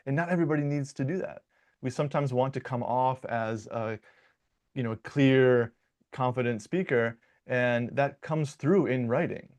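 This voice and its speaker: A young American man from Michigan, a dialect coach, answering interview questions in a relaxed, playful way with frequent restarts and fillers. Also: medium pitch, normal speed. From Michigan, United States.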